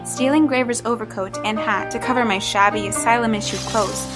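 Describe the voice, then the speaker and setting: A woman voicing a young woman trapped in a man's body, narrating an audio drama in a measured, introspective way. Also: fairly high pitch, fairly even intonation, over music, natural voice, low-quality recording. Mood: determined. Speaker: narrator and lead character.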